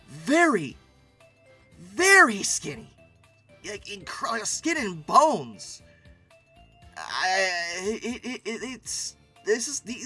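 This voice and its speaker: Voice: mid-range voice, pushed and bright. A host giving casual, humorous commentary on pictures, with wry, exasperated asides and dramatic emphasis.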